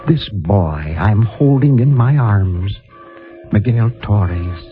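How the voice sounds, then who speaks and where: A man playing a solemn, compassionate, fatherly priest in a 1950s radio drama, speaking in a grave and gentle way. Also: lowish voice, lively intonation, slow pace, soft background music. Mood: prayerful, sorrowful. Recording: muffled, lo-fi 1950 radio recording.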